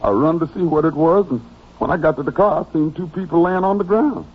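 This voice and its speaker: An actor playing a frightened, weary Southern farmhand suspect in a radio drama, deferential, halting and pleading. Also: male voice, a little high, slightly rough. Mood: anxious, insistent. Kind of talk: old-time radio drama acting. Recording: muffled old radio recording.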